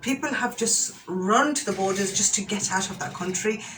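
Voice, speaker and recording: A woman's voice: medium pitch, normal speed, a bit bright, slight background noise, low-quality recording. A woman, a guest lawyer on a radio discussion, explaining things earnestly and firmly.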